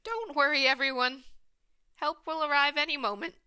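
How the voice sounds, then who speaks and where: A woman's voice: high-pitched, fairly even intonation, normal speed, bright, forceful tone. A woman playing a firm, confident and bossy restaurant boss in a radio play. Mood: reassuring.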